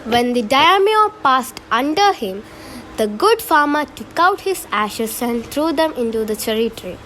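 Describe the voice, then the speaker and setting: A woman's voice: sits high, lively intonation, measured pace, fairly bright tone. A woman reading scripted storybook narration for a shadow puppet show. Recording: noisy amateur recording.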